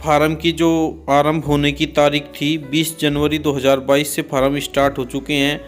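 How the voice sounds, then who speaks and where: A man giving a methodical informational explanation, restating points so they are clear. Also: a little high, completely flat delivery, normal speed, soft background music. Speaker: exam and recruitment explainer, host.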